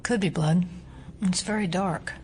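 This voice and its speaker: An actress playing a sceptical, no-nonsense FBI agent in an audio drama, speaking in a dry, measured way. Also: female voice, lowish voice, darkish tone, close to an everyday voice. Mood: businesslike. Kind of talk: naturalistic audio drama acting. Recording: clear background noise.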